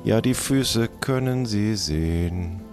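A man playing a formal, businesslike undertaker with a deliberate, dry manner in an improvised role-play comedy. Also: fairly low pitch, music in the background.

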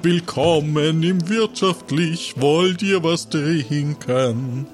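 A man's voice: fairly high pitch, very slow. A man playing a coy, tight-lipped tavern innkeeper in improvised fantasy role-play, with music behind him. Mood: welcoming. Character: cagey tavern innkeeper pouring beer, playfully tight-lipped.

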